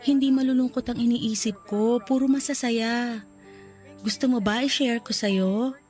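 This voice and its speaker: A woman playing a gravely ill young woman in a sentimental radio romance drama, loving, earnest and resigned to dying. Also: a little high, fairly even intonation, measured pace, darkish tone, close to an everyday voice. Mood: tender. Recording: music under the voice.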